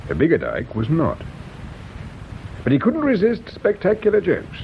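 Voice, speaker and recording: An actor playing a courteous, probing English police inspector in a radio mystery drama, measured and dryly insistent. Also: male voice, huge pitch swings, creaky, heavily acted. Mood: grave, insistent. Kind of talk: British radio drama acting. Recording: dull radio recording with low rumble noise.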